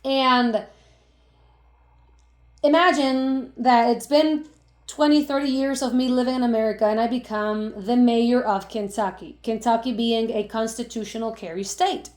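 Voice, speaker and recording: A woman's voice: on the high side, fairly even intonation, unhurried, a little mellow. Emphatic Cuban-born woman, echoey room. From Cuba. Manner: emphatic, rhetorical questions, frequent "right?". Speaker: vlogger. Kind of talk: opinion monologue to camera.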